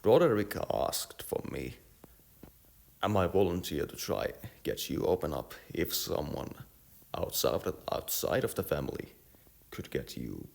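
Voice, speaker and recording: Man reading as young village builder guy, very low gravelly voice, earnest and formal, halting, storybook with music. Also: very animated, a little mellow, full character voice. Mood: earnest, explaining. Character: earnest young village workman, politely explaining himself.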